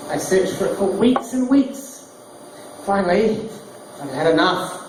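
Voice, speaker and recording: A man doing stand-up one-liners in a deadpan delivery. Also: high voice, a little slow. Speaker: stand-up comedian. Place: small bar stage, roomy and echoey. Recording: echoey distant bar recording, noisy. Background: small crowd laughing, bar noise.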